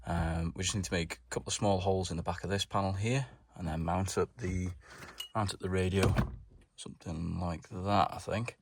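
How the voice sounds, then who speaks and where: A man with a low-pitched voice presents a hands-on DIY job. He talks informally, thinking aloud as he goes, in the casual style of a home mechanic explaining each step. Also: fairly even intonation, moderate pace, vocal fry, noisy background. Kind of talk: casual hands-on how-to narration.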